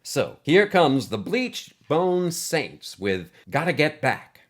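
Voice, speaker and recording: A man's voice: a little high, sing-song, takes it slowly, a bit bright, heavily acted. A man playing an earnest, chatty host of a fringe space podcast, with a theatrical scripted radio-host delivery.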